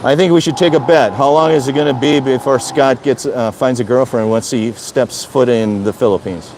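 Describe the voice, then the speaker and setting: A man's voice: medium pitch, fairly even intonation, normal speed, background noise. Chatty older man streaming outdoors. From Wisconsin, USA. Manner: chatty, rambling, repeats himself. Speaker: live streamer. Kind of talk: casual live-stream chat answering viewer comments. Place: outdoor city balcony.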